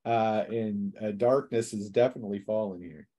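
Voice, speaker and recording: A man running a tabletop roleplaying session as game master, narrating scenes in a halting, drawn-out way. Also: lowish voice, dark tone.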